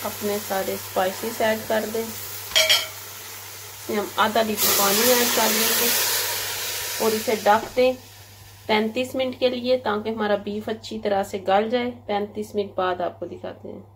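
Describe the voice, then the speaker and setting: A woman hosting a cooking channel, calmly listing ingredients and giving step-by-step recipe instructions. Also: medium pitch, heavy background noise. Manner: plain, measured listing of ingredients. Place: home kitchen. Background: frying and stirring sounds.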